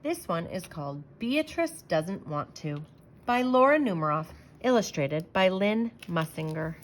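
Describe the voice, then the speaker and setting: Woman, slightly low pitch, expressive storyteller, children's read-aloud, emphatic phrasing. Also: unhurried, slight background noise.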